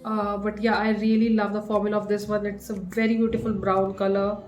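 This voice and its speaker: A woman's voice: mid-range voice, level tone, a little fast, darkish tone, lo-fi sound. A young woman with an Indian English accent, her voice a little groggy from a cough, chatting casually through a makeup review with plenty of fillers and restarts. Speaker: beauty vlogger. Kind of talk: casual product review to camera. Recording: echoey room with faint music.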